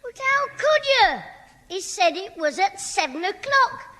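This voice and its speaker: A man's voice: extremely high pitch, big pitch swings, a little slow, sharp tone, heavily acted. A man playing a cheeky, blunt schoolboy in an old radio sitcom. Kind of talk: 1970 radio sitcom acting. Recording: old reverberant radio broadcast recording.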